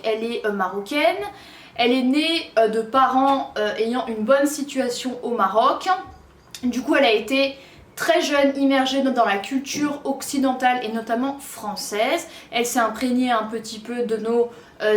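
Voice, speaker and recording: High-voiced woman reviewing a book. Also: echoey room. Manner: hesitant, many fillers.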